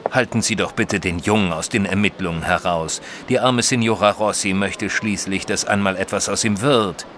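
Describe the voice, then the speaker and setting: A man playing a reserved Victorian gentleman, a detective's companion, narrating an audio drama in a measured, formal way. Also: fairly low pitch, slight background noise, close to an everyday voice. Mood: disapproving.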